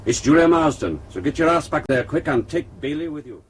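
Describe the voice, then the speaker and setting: A man's voice: on the high side, animated, fairly quick, husky. A man acting in a radio drama as an urgent, blunt police colleague.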